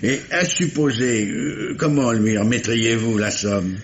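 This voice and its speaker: An actor playing a shrewd, patient police commissioner in 1950s French radio mystery style, hesitant and politely probing, muttering little hums. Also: male voice, mid-range voice, a little slow, close to an everyday voice. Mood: probing, matter-of-fact. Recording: dull, noisy vintage radio recording.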